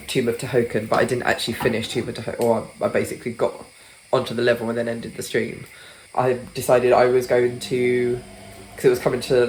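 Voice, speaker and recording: A woman's voice: very deep voice, darkish tone, a little hiss or hum, low-quality recording. A British woman chatting casually in a vlog, rambling and swearing freely, sounding a bit congested. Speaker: gaming vlogger.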